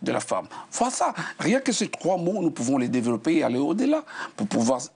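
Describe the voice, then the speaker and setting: A man's voice: on the high side, huge pitch swings, fairly quick, a little mellow, breathy edge. A man speaking as a guest on a televised debate, insistent and explanatory, posing rhetorical questions. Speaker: studio guest.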